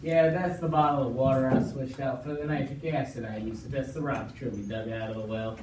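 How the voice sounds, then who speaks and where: An easygoing young cowboy played by a man in a Western stage play, folksy and plucky. His voice is heard from a distance in an echoey room with clear background noise. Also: mid-range voice, fairly even intonation, brisk, lightly acted. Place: small indoor stage, recorded from audience.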